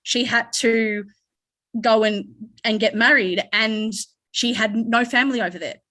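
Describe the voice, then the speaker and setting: Australian woman panelist, higher voice, talkative, halting and rambling. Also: steady tone, bright, forceful tone. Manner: rambling, many restarts and fillers. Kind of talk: conference panel discussion.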